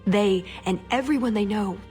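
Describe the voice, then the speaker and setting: A woman's voice: medium pitch, moderate pace, a little mellow, close to an everyday voice. A woman playing an earnest, wry young elf agent in a dramatic video game scene. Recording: music behind the voice.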